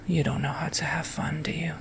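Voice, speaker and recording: A man whispering softly and gently through an ASMR roleplay, as if doing someone's makeup. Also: a little high, level tone, creaky, noisy background. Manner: soft whispering, slow and gentle.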